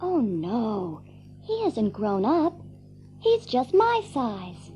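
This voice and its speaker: A woman's voice: on the high side, huge pitch swings, very slow, warm tone, soft background music, full character voice. A woman playing an earnest, trusting, matter-of-fact little girl in a children's audio drama. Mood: earnest, insistent.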